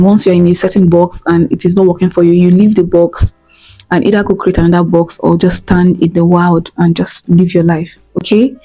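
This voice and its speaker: Young Nigerian woman with an Igbo accent, earnest motivational vlogger, lowish mellow voice, lapel mic, faint music under. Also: low-quality recording. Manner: earnest, repeats key points, checks in with "okay?". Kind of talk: motivational talk to camera. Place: indoor room at home.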